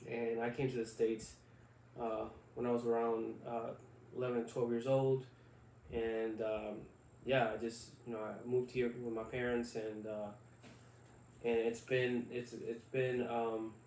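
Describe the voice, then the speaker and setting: Flat-voiced man on an echoey podcast. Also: mid-range voice. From Puerto Rico. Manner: halting, many fillers and restarts. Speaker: podcast co-host. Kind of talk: casual chat. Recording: echoey room, mic at a distance, faint noise.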